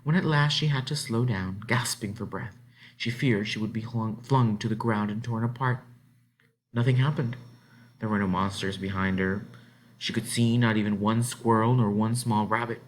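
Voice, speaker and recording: A man's voice: fairly low pitch, moderate pace, low-quality recording. Man reading a children's novel dramatically. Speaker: read-aloud narrator. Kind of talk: expressive children's book narration.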